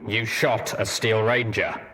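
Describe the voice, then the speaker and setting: Gruff, scornful soldier mare for an audio story, commanding and imperious played by a man. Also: fairly low pitch, steady tone, slow, pushed and bright, in a large hall, low-quality recording. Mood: indignant. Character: gruff commanding mare, armored pony soldier leading rangers.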